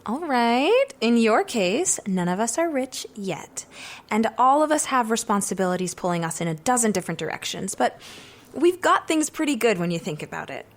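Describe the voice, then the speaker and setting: A woman's voice: on the high side, big pitch swings, normal speed. A young woman playing an earnest, self-doubting drama student in an audio drama.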